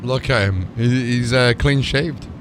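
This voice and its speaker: A man's voice: medium pitch, a little slow, background noise. A man livestreaming casually, chatting and talking himself through tasks aloud. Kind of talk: casual stream chat and commentary. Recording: music playing behind the voice. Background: music.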